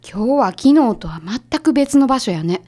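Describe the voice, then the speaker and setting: A sharp, no-nonsense female detective's assistant in a radio drama. Her voice is on the high side, with a Kansai accent. She talks casually and gets straight to the point. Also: lively intonation, normal speed. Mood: brisk, curious.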